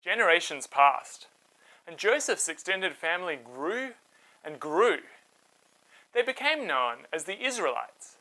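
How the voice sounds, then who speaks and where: Male storyteller and host for children's narration: animated, bright, dramatic, clear phrasing. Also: high-pitched, a little slow, rough recording.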